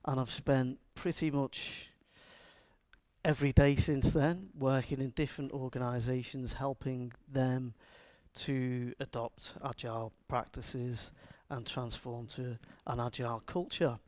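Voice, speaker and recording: A man's voice: mid-range voice, flat delivery, a little slow, darkish tone, dry recording. A middle-aged man from Liverpool with a Scouse accent, speaking live to a conference audience in a chatty, joking way and asking them questions. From Liverpool, England. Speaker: conference speaker and agile coach. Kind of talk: live conference talk to a room.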